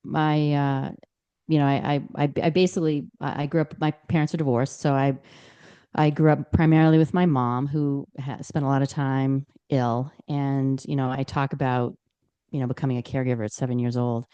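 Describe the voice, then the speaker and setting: A woman's voice: a bass voice, flat delivery, normal speed, dark tone, smooth, lo-fi sound. A woman, a podcast guest telling her story in an earnest way, with many fillers and restarts. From Boston, Massachusetts. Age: 60s. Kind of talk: podcast interview.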